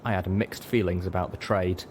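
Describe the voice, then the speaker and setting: A man with a fairly low voice narrates a first-person story. He reads from a script in audiobook style. Clear noise runs behind his voice. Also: steady tone, normal speed, a little mellow.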